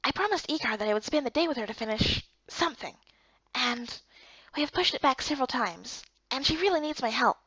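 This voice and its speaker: A woman voicing a gentle, mild-mannered male friend with a soft, kindly, apologetic way of talking, for a voiced story game. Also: high-pitched, lively intonation, fairly quick, breathy edge, no echo, dull audio, heavily acted.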